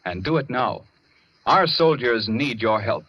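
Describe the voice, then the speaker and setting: A man's voice: mid-range voice, lively intonation, unhurried. A man with an American accent making an earnest, emphatic appeal as a 1940s radio announcer. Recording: muffled vintage radio recording.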